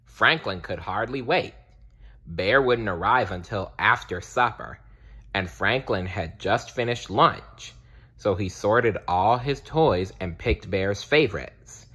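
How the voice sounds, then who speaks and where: A man narrating a children's storybook aloud in a deliberate, expressive read-aloud style. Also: fairly low pitch, husky. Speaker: storybook reader.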